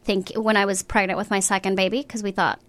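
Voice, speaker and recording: A woman's voice: medium pitch, flat delivery, quick pace, a bit bright. A woman in her thirties, originally from Colombia, a guest on a comedy podcast giving dry one-liners. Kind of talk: casual comedy chat.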